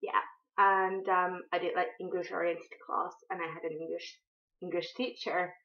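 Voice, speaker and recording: A woman's voice: on the low side, little pitch movement, normal speed, sharp tone. A young woman from Finland with a Finnish accent, speaking unscripted to camera, hesitant, with many ums and restarts. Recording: dull lo-fi sound.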